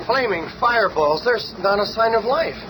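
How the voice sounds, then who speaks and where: An actor playing a brash, excitable young hot-headed superhero in a 1970s radio play. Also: male voice, high voice, normal speed. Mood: alarmed. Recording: noisy old 1970s radio recording.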